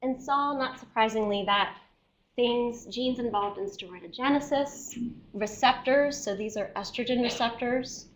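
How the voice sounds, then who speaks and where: A woman scientist giving an academic seminar lecture, explaining step by step and often checking in with her listeners, while losing her voice and clearing her throat. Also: a little high, unhurried, a little hiss or hum, low-quality recording.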